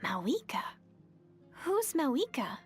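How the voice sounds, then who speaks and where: A young lady traveler in an anime-style video game, her voice high and gentle. She speaks earnestly and politely with a hesitant, apologetic way about her, over faint music. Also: sing-song, slow, full character voice.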